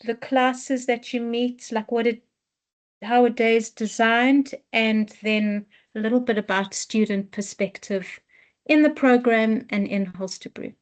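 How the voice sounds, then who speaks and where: A woman who heads a dance program, giving a slow, measured presentation on a video call with many restarts. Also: fairly high pitch, fairly even intonation. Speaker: head of program. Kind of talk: online info session presentation.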